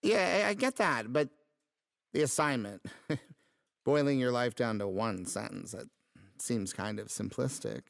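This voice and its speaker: A man's voice: medium pitch, animated, unhurried, vocal fry, pauses often, no echo, studio quality. A man playing a meek, insecure, unlucky student in a comedic audio drama, speaking in a hesitant, stammering, awkward way. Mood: sheepish. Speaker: actor playing the hero.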